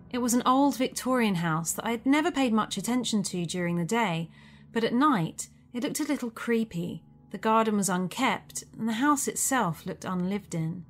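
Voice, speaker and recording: A woman narrating a spooky first-person story from a script. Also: medium pitch. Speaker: narrator of a creepy true story.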